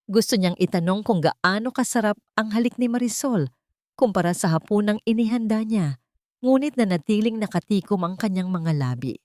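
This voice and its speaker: A woman's voice: medium pitch, moderate pace, darkish tone, no room sound, clean studio sound. A woman reading a scripted, dramatic love story as its narrator.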